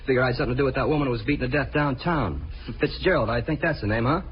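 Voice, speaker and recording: An American actor playing a combative, slangy, cornered murder suspect in a 1950s radio crime drama. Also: male voice, mid-range voice, very fast, close to an everyday voice. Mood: cocky, protesting. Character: defiant, cornered murder suspect, tough talking. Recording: dull vintage radio recording, faint noise.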